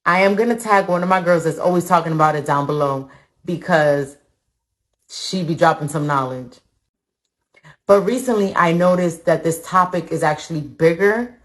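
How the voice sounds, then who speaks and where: A woman of Dominican background talking casually to her followers, often asking them "right?". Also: on the low side. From Dominican Republic. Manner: chatty, keeps asking the audience "right?". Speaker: content creator. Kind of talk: casual social media talk.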